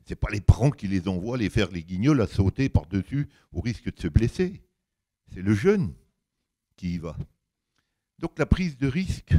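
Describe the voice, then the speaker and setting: An older man with a big voice giving an anecdotal public talk, colloquial and emphatic, acting out bits of dialogue as he tells it. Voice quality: big deep voice. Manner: animated storytelling, colloquial, emphatic, acts out reported dialogue.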